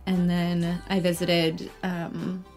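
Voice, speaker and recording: A woman's voice: on the low side, completely flat delivery, slow, a little mellow, soft background music. A young American woman, an actor, chatting casually as an interview guest. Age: young adult. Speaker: actor and singer being interviewed.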